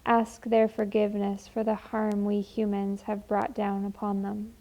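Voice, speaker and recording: A woman leading a guided meditation in a gentle, measured, deliberate way. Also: medium pitch, monotone, poor audio. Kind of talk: slow, soothing guided meditation and poem reading.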